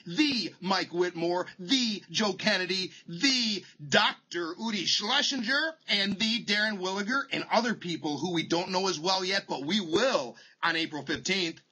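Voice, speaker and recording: High, bright-voiced playful man. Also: breathy edge, low-quality recording. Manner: hammy, exuberant announcer bursts. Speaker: social media speaker on a video call. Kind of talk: playful casual chat. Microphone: webcam on a video call.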